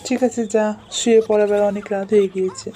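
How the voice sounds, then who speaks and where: A woman's voice: medium pitch, steady tone, quick pace, dark tone, a little hiss or hum, close to an everyday voice. A woman voicing a puzzled, questioning father in a family cartoon. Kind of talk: cartoon voice acting.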